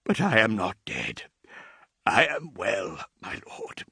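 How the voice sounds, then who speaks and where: A man's voice: fairly low pitch, huge pitch swings, takes it slowly, pushed and bright, husky, no room sound, studio quality, full character voice. A man voicing an aged, devoted male servant who speaks with deference in an audiobook. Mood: deferential. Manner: pleading, deferential.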